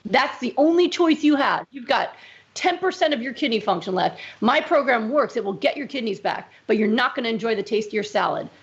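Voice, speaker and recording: A middle-aged woman physician, a guest in a casual interview, talking in quick emphatic fragments with many "like"s and "right?"s. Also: fairly high pitch, lively intonation, lo-fi sound.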